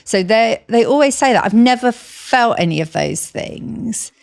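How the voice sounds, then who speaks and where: A woman's voice: on the high side, animated, measured pace, gravelly, a little mellow. A woman co-hosting a podcast, chatting casually and hedging with fillers. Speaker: podcast co-host. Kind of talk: casual chat.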